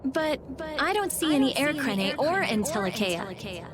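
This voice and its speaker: A woman playing a brisk, curious teenage girl researcher in an English game dub, with a blunt manner. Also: sits high, sing-song, over loud music.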